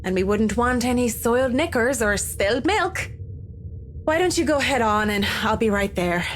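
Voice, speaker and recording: A woman's voice: a little high, expressive, normal speed, a little hiss or hum, natural voice. A woman voicing a wry, curious young heroine. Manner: casual, wry, slightly hesitant. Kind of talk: voiced video game dialogue.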